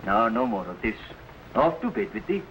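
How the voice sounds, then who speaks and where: A man's voice: mid-range voice, sing-song, moderate pace, lightly acted. An actor playing a proud, resolute Swiss father in an old-time adventure serial, speaking in solemn, archaic formal language. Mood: grave, firm. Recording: noisy vintage broadcast recording.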